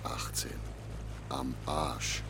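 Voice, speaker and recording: An actor playing a gruff, grumbling barge skipper in a radio play, blunt and colloquial. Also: male voice, very deep voice, fairly even intonation, extremely slow, in a whisper, exaggerated voice. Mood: gruff, exasperated. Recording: loud boat engine and water noise.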